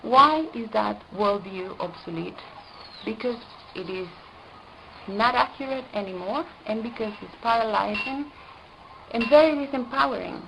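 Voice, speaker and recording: A woman from Costa Rica, an environmental specialist, speaking in an earnest, deliberate way and stressing key words. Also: on the high side, vocal fry, background noise. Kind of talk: prepared video statement.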